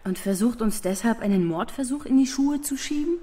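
Guarded, mellow-voiced woman detainee played by an actress. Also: a little high, animated, fairly quick, a little hiss or hum, close to an everyday voice. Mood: guarded, determined. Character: detained policewoman, guarded and determined. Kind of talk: German crime audio drama.

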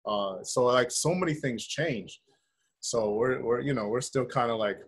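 A middle-aged American man with a husky voice, talking informally as an interview guest on a video call. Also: on the low side, fairly bright tone. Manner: informal, conversational, peppers talk with "man" and "you know". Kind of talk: casual interview chat. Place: indoor room with bare wall, video call.

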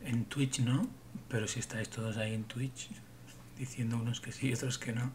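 A man's voice: medium pitch, steady tone, normal speed, darkish tone, slight background noise. A man in his forties from Barcelona, chatting casually with viewers on a livestream from a small room at home, often rambling and muttering to himself. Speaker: livestream host.

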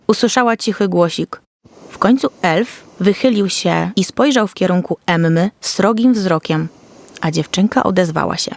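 A woman's voice: lowish voice, moderate pace, vocal fry, no room sound. A woman storyteller reading a scripted fairy tale for children.

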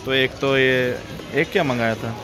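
A man's voice: medium pitch, moderate pace, music in the background. A young man casually chatting to the camera while filming a food vlog in a busy restaurant. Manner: chatty, relaxed, talks to camera. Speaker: vlogger. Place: busy restaurant indoors, street outside earlier.

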